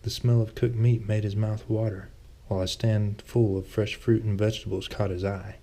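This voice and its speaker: A man's voice: fairly low pitch, little pitch movement, normal speed, warm tone. A man reading his own fantasy novel aloud as scripted audiobook narration.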